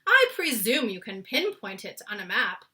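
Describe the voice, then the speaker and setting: A woman's voice: medium pitch, sing-song, moderate pace, pushed and bright. A woman voicing a proud, testy male air force marshal, brusque and indignant, in a storybook reading. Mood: grudging.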